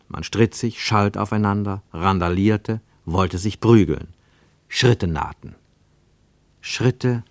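Slow, low-voiced male radio narrator. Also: dry recording. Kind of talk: literary radio drama narration, scripted.

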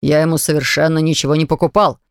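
A woman's voice: sits low, level tone, fast talker, dry recording, clean studio sound, pitched below the performer's own voice. A woman voicing an irritable, tired father who lectures his young son in a children's storybook. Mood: exasperated. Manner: stern, exasperated, lecturing. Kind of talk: audiobook character reading.

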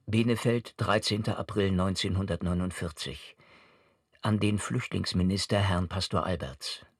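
A man with a lowish, noticeably husky voice reads in a formal, official style. His delivery is measured and earnest, recorded close and dry without room sound. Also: no echo. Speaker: reader of an official letter. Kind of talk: formal scripted reading.

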